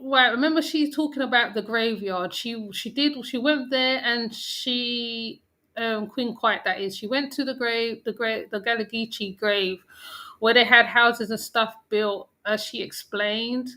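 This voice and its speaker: Woman, casual reaction host, chatty, frequent restarts, bright voice, slightly high. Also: unhurried. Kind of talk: casual commentary.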